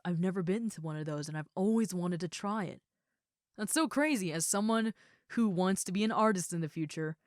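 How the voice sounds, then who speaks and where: A woman playing a gentle, shy young ogre roommate in an intimate comfort roleplay, talking softly, casually and a little hesitantly to the listener. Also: lowish voice, clear, resonant tone, no room sound, studio quality, close to an everyday voice. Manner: soft, hesitant, casual, reassuring.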